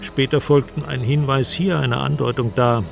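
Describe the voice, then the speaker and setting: Investigative reporter over outdoor noise. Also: male voice, medium pitch, fairly even intonation, gravelly. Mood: measured, reflective. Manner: literary, measured storytelling. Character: male investigative reporter recounting a jungle search. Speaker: first-person narrator of a radio documentary drama. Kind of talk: scripted narration in a radio drama.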